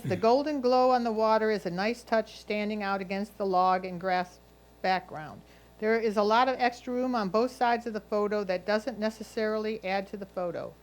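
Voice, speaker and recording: A woman's voice: mid-range voice, rough recording. A woman reading a judge's written critique aloud. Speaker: reader of judges' comments.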